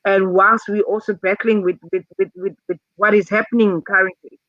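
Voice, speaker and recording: A woman's voice: mid-range voice, steady tone, moderate pace, fairly bright tone, no echo. Woman on a muffled dry video call. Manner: hesitant, many fillers and repeats. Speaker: summit participant. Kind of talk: casual online discussion.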